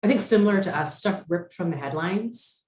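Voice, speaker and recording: A woman's voice: fairly low pitch, expressive, moderate pace, a little mellow. A woman in her forties, a novelist, chatting casually about her writing with many fillers and restarts. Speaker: novelist guest. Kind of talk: casual conversation. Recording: roomy echo.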